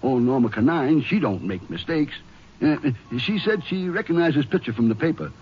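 An actor playing a folksy, nervous small-town Texas marshal with a rural Southern accent, rambling and hesitant, in 1950s radio drama style. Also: male voice, fairly high pitch, sing-song, moderate pace, breathy edge. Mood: eager, flustered. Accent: rural American Southern. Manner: drawling, rambling, hesitant with uhs. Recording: dull old radio recording, faint hiss.

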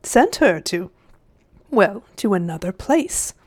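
A woman plays an old gentleman from a children's storybook. He is sly and self-satisfied, wheedling in an old-fashioned genteel way. His sweetness is false and talks down to the young. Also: medium pitch, sing-song, takes it slowly, breathy edge, full character voice. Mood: gleeful. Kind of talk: storybook character voice.

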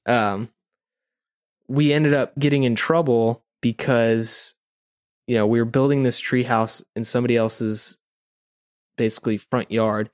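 A man's voice: medium pitch, steady tone, measured pace, long pauses, clear, resonant tone, no room sound. Casual man telling a rambling story, dry recording. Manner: informal, rambling, heavy use of "like" and "you know". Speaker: podcast co-host.

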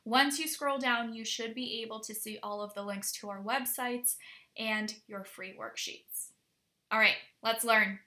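A woman hosting a language lesson podcast, upbeat and energetic as she introduces the episode. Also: fairly high pitch. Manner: upbeat and energetic in the intro, slow and deliberate when modelling phrases. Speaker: podcast host and Polish teacher.

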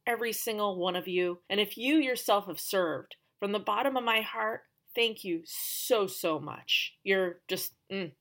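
A woman's voice: medium pitch, a bit bright. A woman hosting a podcast, telling a story in a conversational scripted narration with emphatic, dramatic phrasing and chatty asides. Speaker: podcast host.

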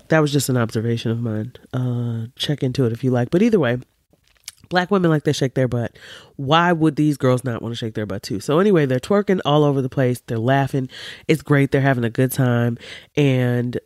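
A woman in her forties with a deep voice, hosting a podcast in an emphatic, animated, opinionated monologue full of casual swearing. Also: normal speed, no room sound, clean studio sound. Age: 40s. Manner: emphatic and animated, swears freely, preachy asides. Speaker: podcast host.

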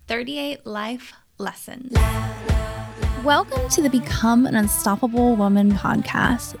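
A woman's voice: fairly high pitch, vocal fry. A woman in her thirties hosting her own podcast, talking candidly and conversationally into a studio mic. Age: 30s. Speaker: podcast host and leadership coach. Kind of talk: solo podcast monologue.

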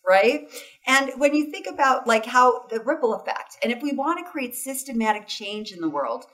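A woman's voice: sits high, fairly bright tone, far from the mic. A woman being interviewed as a foundation founder, talking enthusiastically and often tagging sentences with "right?" and "you know". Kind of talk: interview conversation.

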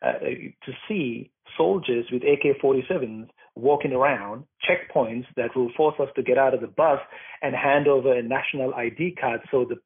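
A man's voice: medium pitch, normal speed, slightly rough. A man from Sri Lanka, a documentary maker recounting his past as an interview guest, thoughtful and full of fillers and restarts. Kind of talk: interview answers, personal account. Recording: tinny phone-like line.

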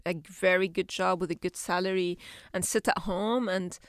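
A low-pitched woman with a gravelly edge, raised in Syria and speaking English as a third language after Arabic. She talks reflectively in an interview, often repeating and restarting words. The close, dry sound has little room in it. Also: fairly even intonation, moderate pace, dry recording. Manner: reflective, frequent restarts and repetitions. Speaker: children's book author and illustrator. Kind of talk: conversational interview.

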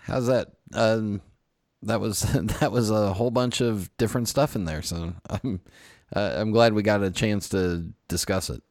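A man's voice: fairly low pitch, a little slow, darkish tone, dry recording, studio quality. A man from the southern United States hosting a podcast, joking and laughing easily as he chats with guests. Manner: joking, self-deprecating, laughs often. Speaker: podcast host. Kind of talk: casual interview chat.

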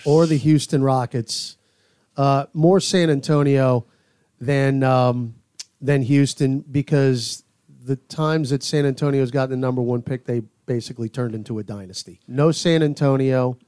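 A man giving casual sports opinions on a podcast as a commentator, emphatic and deliberate. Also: medium pitch, steady tone, mellow, smooth, dry recording, studio quality.

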